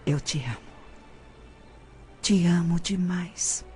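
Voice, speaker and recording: An actress playing a young, jealous, enamoured mistress in an audio drama, languid and coquettish. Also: female voice, fairly low pitch, slow pace, music in the background. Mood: adoring. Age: young adult.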